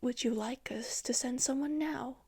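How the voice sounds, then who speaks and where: A woman voicing a polite, apologetic male police officer speaking on the phone, in a breathy storybook reading. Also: sits high, normal speed, darkish tone. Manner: courteous, apologetic.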